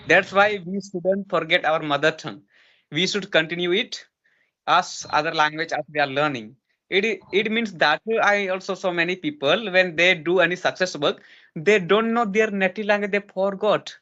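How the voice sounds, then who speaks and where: A man's voice: high voice, moderate pace, sharp tone, highs cut off. A man, lecturing earnestly in a casual practice conversation, with many restarts and fillers. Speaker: host giving advice.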